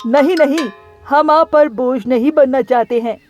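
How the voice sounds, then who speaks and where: A woman's voice: high-pitched, a little slow, a little mellow, soft background music. A woman voicing a courteous, earnest visiting bird, a weary traveller in a cartoon story, on a dull lo-fi recording. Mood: polite.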